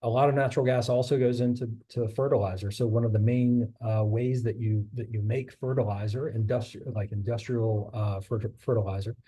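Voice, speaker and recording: A man's voice: mid-range voice, steady tone, fairly quick, hardly any pauses, warm tone, husky. A middle-aged man giving a casual talk about his maritime career, loose and chatty with many fillers and restarts, and losing his voice a little. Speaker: guest speaker on a maritime career.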